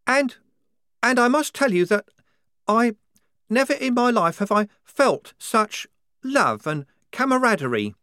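A man's voice: high-pitched, very animated, moderate pace, fairly bright tone, no room sound, clean studio sound, voice pushed up. A man reading an audiobook, voicing a naive, devout nineteen-year-old woman soldier who speaks with fervent earnestness.

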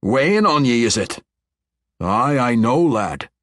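A man voicing a gruff dwarf king with a brogue. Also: mid-range voice, big pitch swings, takes it slowly, fairly bright tone, no room sound, studio quality, voice pushed up, exaggerated voice. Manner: folksy dwarven brogue, pointed questions. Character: gruff old male dwarf king, blunt.

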